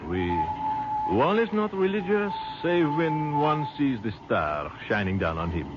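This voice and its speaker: A courteous French army captain in an old radio play, with a French accent and gently philosophical, formal phrasing. His low voice rises and falls widely, full of wistful sentiment. The vintage recording is dull, with clear noise over it. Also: sing-song, a little slow. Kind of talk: old-time radio drama acting.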